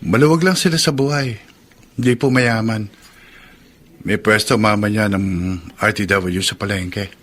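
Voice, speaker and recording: A man's voice: lowish voice, fairly even intonation, measured pace, a bit bright. A Filipino man acting in a radio drama as a polite, earnest young suitor in his twenties. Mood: hesitant.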